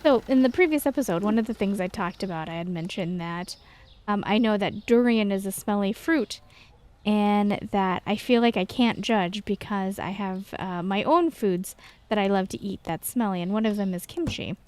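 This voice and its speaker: An American woman with a medium-pitched voice hosts a gaming video. She talks in a casual, chatty way, wandering through personal stories and poking fun at herself as she goes. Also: moderate pace, a little mellow, clean tone. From Texas, United States. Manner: rambling, self-deprecating storytelling. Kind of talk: casual chatty gameplay commentary.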